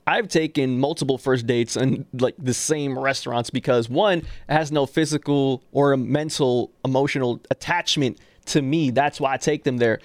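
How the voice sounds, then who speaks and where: A man's voice: mid-range voice, moderate pace, few pauses, no echo. A man co-hosting a podcast, chatting casually in a playful, boastful, teasing way. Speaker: podcast co-host. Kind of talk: casual banter.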